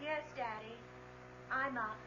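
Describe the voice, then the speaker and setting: An actress playing a despondent, bitter, guilt-ridden grown daughter in an old-time radio drama, listless and wounded. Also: female voice, a little high, takes it slowly. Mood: listless, brooding. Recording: dull, noisy radio drama recording with hum.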